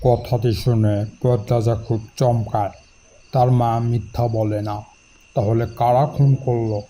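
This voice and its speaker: A man's voice: medium pitch, steady tone, slow pace, dark tone, a little hiss or hum, rough recording. A man reading scripted story narration.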